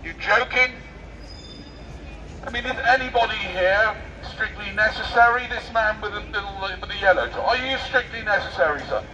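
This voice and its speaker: A man's voice: high voice, normal speed, dull audio. A middle-aged British man arguing in a public street debate, mocking and provocative, firing rhetorical questions through a megaphone. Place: busy outdoor city street. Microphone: megaphone picked up by a distant camera mic. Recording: megaphone outdoors, distant mic, street noise.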